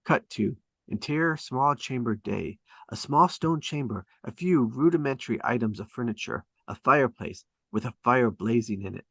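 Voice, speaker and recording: A man's voice: mid-range voice, moderate pace, gravelly, few pauses, sharp tone, dry recording. A man reading stage directions as dramatic scripted narration at a table read.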